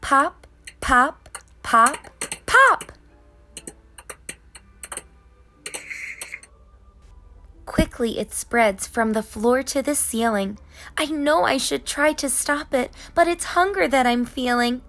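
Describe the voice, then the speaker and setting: A woman reading as a busy, whimsical, gently scolding tooth fairy in a children's storybook, in rhyme. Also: high-pitched, takes it slowly, full character voice. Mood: excited. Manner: sing-song rhyming delivery. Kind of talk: children's storybook narration in character.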